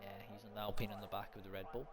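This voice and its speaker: A man with a naturally quiet voice giving live, unscripted sim racing commentary, mixed with casual chat. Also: fairly low pitch, flat delivery, racing. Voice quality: soft-spoken, naturally quiet voice. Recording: noisy home stream microphone.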